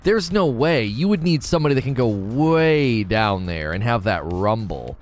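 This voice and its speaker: A man's voice: medium pitch, big pitch swings, normal speed. Rambling male livestream host, music faint. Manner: rambling, restarts often, self-corrects. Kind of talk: casual livestream chat, reading chat aloud.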